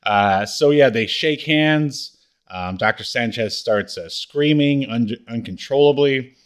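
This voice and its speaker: A man with a middle-pitched voice talks casually as a podcast co-host. He recaps things in a halting, rambling way, often letting his thoughts trail off. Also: clean tone. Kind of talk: casual chat recapping a comedy show.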